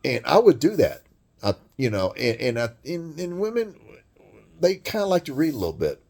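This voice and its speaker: A man with a deep voice delivering a blunt, crude, rambling monologue rant full of swearing. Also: very animated, moderate pace, vocal fry, a little mellow. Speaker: vlogger.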